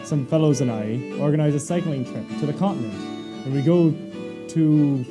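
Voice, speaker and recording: A man playing a polite but defensive gentleman who stalls and hedges. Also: a little high, normal speed, over music, lightly acted. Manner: hesitant, stalling. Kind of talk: staged reading of a short story scene.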